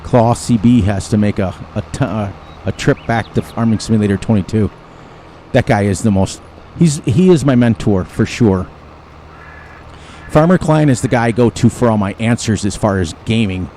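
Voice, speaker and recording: A man's voice: on the low side, normal speed, dark tone, slight background noise. Rambling, chuckling man. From United States. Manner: rambling, chatty, chuckles often. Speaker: gaming channel host. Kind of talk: casual gameplay commentary.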